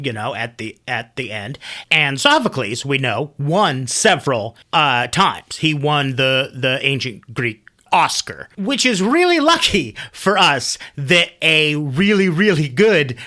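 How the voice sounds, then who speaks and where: A man with a bright, forward voice hosts a storytelling show. His pitch sits a little high and swings widely as he talks. He speaks informally, like someone chatting through a tale and adding his own commentary.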